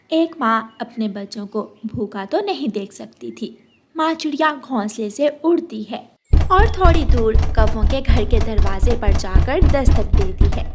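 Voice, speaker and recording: A woman's voice: on the high side, a little hiss or hum. A woman reading scripted narration for a children's story as its narrator.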